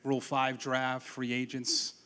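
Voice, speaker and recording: Friendly guy doing the interview, chatty questions, mid-pitch voice. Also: monotone, a little slow, bright, forceful tone, clean tone, big-room sound. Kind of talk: casual interview at a dinner event.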